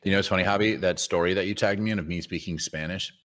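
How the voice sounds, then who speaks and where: A man's voice: sits low, fairly even intonation, fast talker, fairly bright tone. A man co-hosting a live trading stream, chatting casually and trash-talking viewers with blunt teasing. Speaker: co-host trader.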